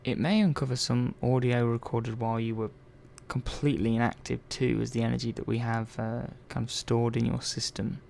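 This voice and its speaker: Male technician, acted by a man, soft ASMR drama, heavy creak, mellow tone, halting and quietly protective. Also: medium pitch, little pitch movement, a little hiss or hum, lightly acted. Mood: cautious, reassuring. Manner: hesitant, halting, trailing off mid-sentence. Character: earnest, hesitant male robot repair technician, quietly protective. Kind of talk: soft-spoken ASMR roleplay.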